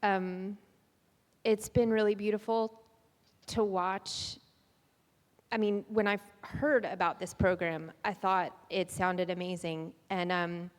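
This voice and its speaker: A woman speaking unscripted to an audience, hesitant, humble and thoughtful. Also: medium pitch. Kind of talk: unscripted public testimonial. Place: large echoey room at a graduation event. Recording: echoey hall, long reverb.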